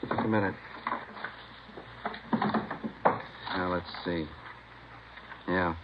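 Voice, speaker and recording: An actor playing a businesslike, matter-of-fact police captain in a vintage radio crime drama. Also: male voice, sits low, steady tone, very slow. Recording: noisy muffled vintage radio recording.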